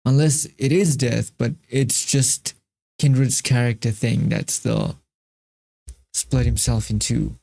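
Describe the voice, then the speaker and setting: A man's voice: mid-range voice, fairly even intonation, slow pace, gravelly, mellow. A man hosting a reaction video, talking casually and emphatically, repeating himself and swearing freely.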